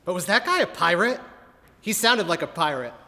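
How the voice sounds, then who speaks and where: A man's voice: high-pitched, animated, normal speed, sharp tone, full character voice. A man playing a clueless, tactless, chatty listener in a comic radio play, blurting questions obliviously. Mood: oblivious, inquisitive. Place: live on stage in a ballroom. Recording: live hall reverb.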